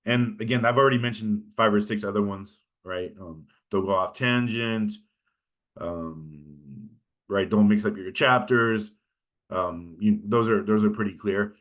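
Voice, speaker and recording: A man's voice: on the low side, unhurried, a bit bright. A man with a Californian American accent giving an informal workshop lecture in a conversational way, often checking in with his listeners. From California, United States. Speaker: instructor giving a workshop on pitching.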